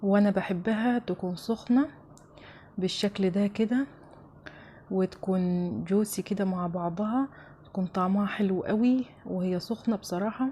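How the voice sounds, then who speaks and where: A woman with an Egyptian accent narrates a recipe in a soft-edged, mellow voice, over clear background noise. Her pitch sits in the middle, and she talks casually, addressing viewers familiarly as she goes step by step. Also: steady tone, normal speed, pauses often. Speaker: home cooking show host.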